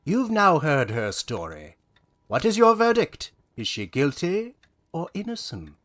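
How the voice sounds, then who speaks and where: A theatrical storyteller acted by a man in an audio play, pompous and easily exasperated. The delivery is declamatory and old-fashioned, with lively swings in pitch. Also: a little slow, full character voice. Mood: earnest, oratorical. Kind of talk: audio drama acting.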